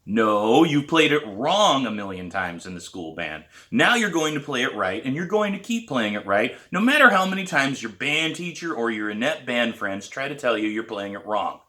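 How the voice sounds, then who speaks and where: A man's voice: mid-range voice, big pitch swings, normal speed, few pauses, pushed and bright. A man reading a story aloud and voicing a demanding, sarcastic male drum teacher who snaps out blunt orders. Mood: stern.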